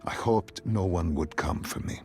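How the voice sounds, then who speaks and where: A man playing a calm, enigmatic doctor with dark secrets in a video game, speaking in a measured, cryptic, probing way. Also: sits low. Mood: calm, guarded. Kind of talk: dramatic video game voice acting.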